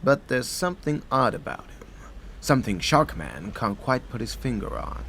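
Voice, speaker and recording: A man's voice: mid-range voice, sing-song, normal speed, fairly bright tone, slight background noise. A man playing a dramatic storytelling narrator in a superhero radio play. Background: music.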